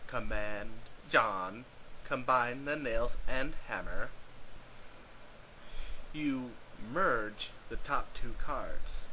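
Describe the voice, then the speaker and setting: A man's voice: mid-range voice, pushed and bright. A man reading scripted narration aloud in a theatrical, dramatized way as an amateur storyteller. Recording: clearly noisy amateur home recording.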